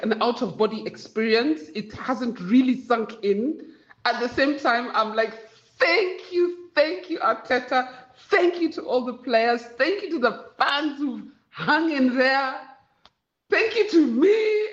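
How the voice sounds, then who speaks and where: Excited fan in a roomy, dull recording. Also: high voice. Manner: excited, breathless gushing. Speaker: interviewed football fan.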